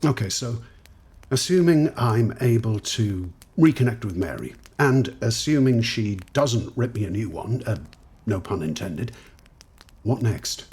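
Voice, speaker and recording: A man acting as a sceptical, educated character in an audio drama, husky, questioning and wry. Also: medium pitch, expressive, a little slow, a little mellow. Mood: wry, hesitant.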